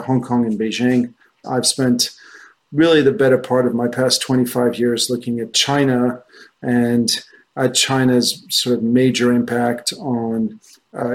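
A middle-aged man from France, a native French speaker, giving discursive, anecdotal answers as an interview guest. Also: medium pitch. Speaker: guest, investment research executive.

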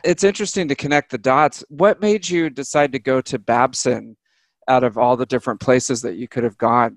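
Guy hosting a podcast, gravelly voice, chill and chatty, dry sound. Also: medium pitch, dry recording. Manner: relaxed, conversational, laughs easily. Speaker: podcast host. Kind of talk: interview questions.

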